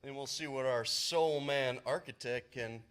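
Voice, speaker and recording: A man plays a no-nonsense contractor in a storybook stage parody. His voice is mid-pitched and everyday, reporting on the job in a plain, practical way. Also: a little slow, a bit bright, clean tone, lightly acted. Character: matter-of-fact male building contractor named Bill. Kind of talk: scripted stage parody dialogue.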